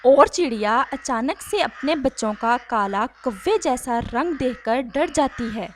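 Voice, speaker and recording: A woman's voice: a little high, expressive, a little slow, a little hiss or hum. A woman reading scripted narration for a children's cartoon story.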